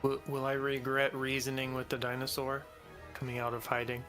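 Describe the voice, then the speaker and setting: Bright-voiced man playing a tabletop game. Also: mid-range voice, completely flat delivery, a little fast, faint music behind. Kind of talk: casual chat during an online tabletop role-playing game.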